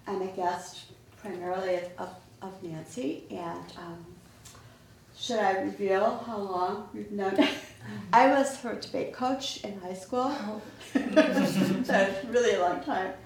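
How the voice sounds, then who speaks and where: An older woman from Illinois introducing herself as a guest to a class, talking slowly and haltingly. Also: medium pitch. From Waukegan, Illinois. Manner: slow, halting, with soft laughs. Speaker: guest, environmental campaigner. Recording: echoey classroom from far off, faint noise.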